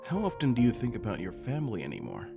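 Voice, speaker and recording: A man's voice: mid-range voice, huge pitch swings, fast talker, creaky. A man playing a curious, earnest questioner in a scripted comic dub. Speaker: asker putting questions to the characters. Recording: music under the voice.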